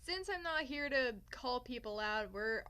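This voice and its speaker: Young woman doing a storytime vlog, high bright voice, kinda dramatic n sarcastic. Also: lively intonation, unhurried, clear, resonant tone. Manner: theatrical, sarcastic, self-mocking. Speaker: vlogger telling a personal story. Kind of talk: casual storytime chat to camera.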